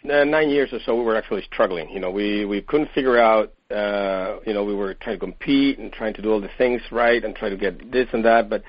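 A middle-aged man from Venezuela, a chef and company founder telling his story in a radio interview, animated and laughing lightly. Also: fairly low pitch, a little fast, hardly any pauses. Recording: dull lo-fi radio sound.

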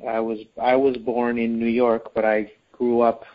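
Male guest from Spain, relaxed and quick, laughs easily, muffled lo-fi sound. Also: medium pitch. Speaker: guest, company founder. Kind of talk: casual interview chat. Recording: dull lo-fi recording.

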